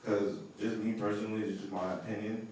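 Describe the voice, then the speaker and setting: A man with a very low, deep voice talks casually and earnestly as he poses a question. He is distant from the mic, with strong hall echo around him. Faint room noise stays underneath. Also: little pitch movement, brisk, darkish tone. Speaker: audience member asking a question. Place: large indoor event space. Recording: echoey hall from far off.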